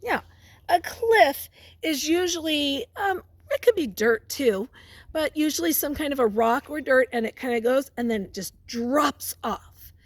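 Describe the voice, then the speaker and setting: A woman's voice: sits high, lively intonation, no echo. A woman teacher reading a picture book aloud to young children, stopping to ask them questions and prompt them. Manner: pauses to question and prompt listeners.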